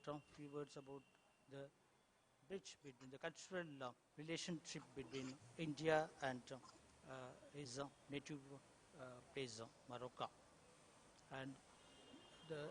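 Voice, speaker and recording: A man whose first language is Tamil, a translator speaking on a panel in English, halting with many fillers and restarts. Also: on the high side, fairly bright tone. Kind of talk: hesitant panel remarks. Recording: long hall reverb, faint ambient noise.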